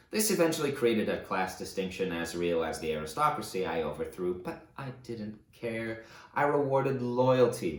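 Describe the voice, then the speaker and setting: A low-voiced fallen tyrant, acted by a man in a dramatic audiobook. He confesses his atrocities with grave, measured emphasis and heavy remorse. The room rings around his deep voice. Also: roomy sound, lightly acted. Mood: forceful, bitter. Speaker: audiobook narrator. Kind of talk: dramatic first-person audiobook reading.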